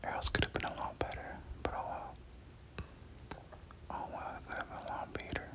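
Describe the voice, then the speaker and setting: A person doing whispered ASMR game commentary, speaking casually in gamer slang and often repeating short phrases. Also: a little fast. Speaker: gameplay commentator.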